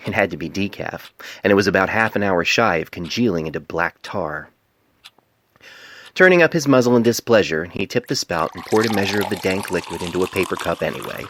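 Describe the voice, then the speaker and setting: Very deep-voiced male story narrator. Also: a bit bright, no room sound. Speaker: author narrating own story. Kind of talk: scripted fan fiction narration. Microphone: cell phone microphone.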